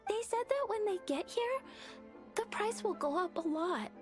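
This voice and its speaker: An actor, a woman, playing a shy, hesitant little girl in a video game, halting and timid. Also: very high voice, expressive, faint music behind.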